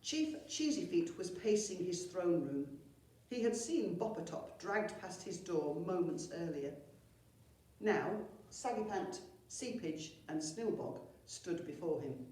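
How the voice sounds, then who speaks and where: A woman with a fairly low voice reads a children's story with expression. She sounds like a school storyteller, recorded some way from the microphone in a very echoey room. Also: lively intonation, slow, dark tone. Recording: very echoey room, far from mic.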